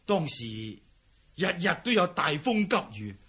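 A man's voice: fairly high pitch, big pitch swings, a little slow, a bit bright, husky, full character voice. A man playing a cowardly, self-serving fleeing palace eunuch in a historical radio drama, stammering and sighing as he talks. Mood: weary recounting.

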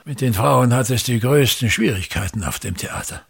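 An older actor with an Austrian accent playing a pompous, tyrannical actor-manager in a radio drama, grumbling in a declamatory way with drawn-out emphatic words. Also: male voice, medium pitch, creaky, slightly rough. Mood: scornful, declamatory. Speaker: stage actor in a radio play. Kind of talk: theatrical radio drama monologue.

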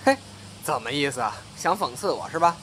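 A man's voice: fairly high pitch, big pitch swings, a little fast, background noise. A man playing an easygoing, cheeky young watermelon vendor in a Chinese audio drama, with a folksy, forthright manner. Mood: wry.

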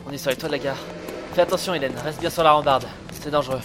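A man's voice: a little high, steady tone, racing, natural voice. A man acting an anxious but brave, wry inventor brother in an audio drama, given to grumbling. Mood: tense, wary. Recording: loud music behind.

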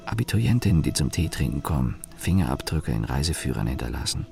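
A cynical, weary male office engineer in a radio drama. His very low voice swings expressively through clipped, sardonic fragments of inner monologue, with faint music behind him. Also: very animated, quick pace, creaky, darkish tone, full character voice. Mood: sardonic, observant. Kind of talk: radio play first-person monologue.

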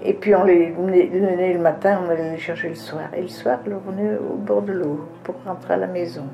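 An older woman telling childhood memories in a relaxed, lively storytelling way. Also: on the low side, a little fast, gravelly, muffled recording, soft background music. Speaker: interviewee recalling childhood. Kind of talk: casual reminiscing.

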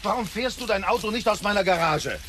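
A man's voice: high voice, fast talker, fairly bright tone, slight background noise. An actor playing a bitter, exasperated middle-aged father who is easily enraged, in a German radio drama. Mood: irritated, suspicious. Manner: exasperated, scolding, brooding.

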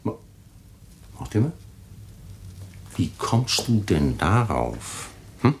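An actor playing a husband whose calm turns coldly threatening and demanding in a radio drama. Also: male voice, fairly low pitch, slow pace, creaky, a little hiss or hum, heavily acted. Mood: menacing. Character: menacing husband, cold, commanding. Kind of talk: German radio drama acting.

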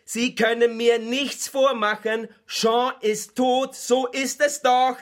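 A man's voice: very high voice, little pitch movement, slow. An actor playing a nervous, evasive male salesman in a radio play, stammering and agitated, turning defiant.

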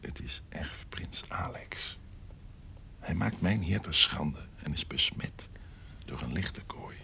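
An actor playing a scheming, lecherous courtier in an audio period drama, insinuating and savouring his words, often dropping to hushed tones. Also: expressive, slow, whispered, exaggerated voice. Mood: conspiratorial. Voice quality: deep, gravelly. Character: scheming, lecherous male courtier and secret conspirator. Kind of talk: audio drama acting in Dutch period piece.

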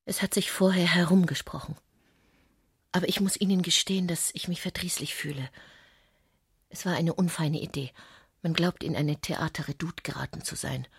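A woman playing a refined, melancholy society lady in a literary radio drama, formal and restrained, with a hushed, breathy voice. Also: low voice, fairly even intonation, moderate pace, no echo, studio quality, full character voice. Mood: vexed, hushed.

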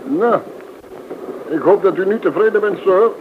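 An actor playing a polite, hesitant but stubborn train conductor in a Dutch radio play. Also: male voice, high-pitched, expressive, a little slow. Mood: flustered. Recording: noisy, tinny old radio recording.

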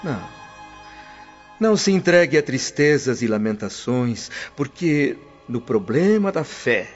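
An actor playing a humble, gentle freed preacher in a radio drama, speaking in unhurried, earnest sermons. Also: male voice, medium pitch, very animated. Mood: counselling. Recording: dull lo-fi audio with faint music.

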